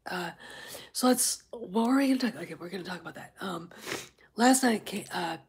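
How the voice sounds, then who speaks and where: A woman's voice: mid-range voice, animated, fairly quick. A woman hosting a casual livestream, a little stuffed up from congestion, rambling with many fillers and breaking off to greet chat viewers. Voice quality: very husky, often near a whisper. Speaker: livestream host.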